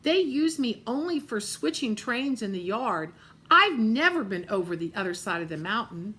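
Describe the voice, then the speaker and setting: A woman voicing a small, modest blue engine in a children's story, soft and steady. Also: fairly high pitch, normal speed.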